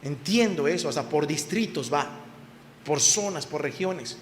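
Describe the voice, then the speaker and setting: A man's voice: fairly high pitch, animated, unhurried, a little hiss or hum. A man preaching a sermon to his congregation, asking them questions and raising his voice for emphasis. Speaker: pastor. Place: church hall. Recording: echoey church hall, faint ambience.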